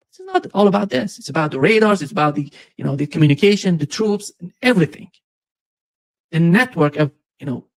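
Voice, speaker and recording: A man's voice: high-pitched, a little mellow. A man hosting a political show, giving spontaneous commentary in an insistent way, often repeating phrases. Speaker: host.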